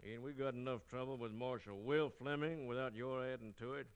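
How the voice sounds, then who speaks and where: A man's voice: mid-range voice, steady tone, a little fast, smooth, lightly acted. An actor playing a suspicious, irritated frontier townsman, blunt and challenging, in an old-time Western radio drama. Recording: noisy old radio recording with clicks.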